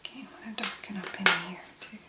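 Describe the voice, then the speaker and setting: A woman speaking in a breathy, whispery voice, gently and unhurriedly murmuring step by step through a soft-spoken ASMR tutorial as its host. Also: mid-range voice, fairly even intonation, fast, reverberant, background noise.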